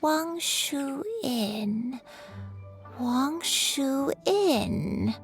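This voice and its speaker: A gentle old granny, slightly high in voice and very slow. She sounds serene and dreamy, a game character with faint music behind. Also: animated, exaggerated voice. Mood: wistful. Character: gentle, dreamy elderly grandmother. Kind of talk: video game voice acting.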